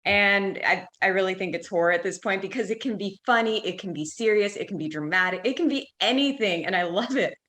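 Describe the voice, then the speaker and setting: A woman's voice: mid-range voice, a little fast, hardly any pauses, fairly bright tone. A woman giving her opinion casually as a podcast guest.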